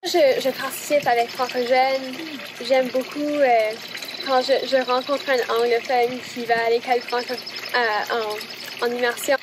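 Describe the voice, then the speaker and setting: A woman's voice: a little high, level tone, a little slow. A young woman answering interview questions casually, using lots of fillers. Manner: fillers of "comme", chatty. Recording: noisy background.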